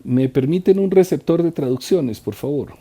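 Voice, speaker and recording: A man interpreting a conference talk live, in a halting way with restarts and trailing phrases. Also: on the high side, expressive, warm tone, breathy edge.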